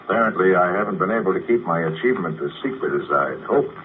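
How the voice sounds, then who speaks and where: An actor playing a confident sanitarium psychiatrist who explains things in a measured, self-assured way, in 1950s radio drama style. It is heard on a tinny 1950 radio broadcast with faint hiss. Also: male voice, low voice, expressive. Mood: calm explaining. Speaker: doctor.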